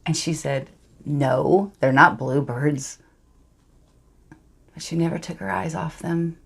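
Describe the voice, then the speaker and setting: A woman performing a monologue as a wistful girl remembering a friend, gentle and hushed, with halting, intimate storytelling and a creaky voice. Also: low-pitched, heavily acted. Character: wistful girl recalling a lonely childhood friend.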